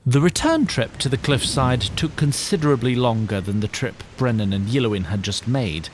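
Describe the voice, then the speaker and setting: A man narrating a fantasy audio drama as a measured, wry storyteller who addresses the listener directly. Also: medium pitch, expressive, slight background noise, close to an everyday voice. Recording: music bed under narration.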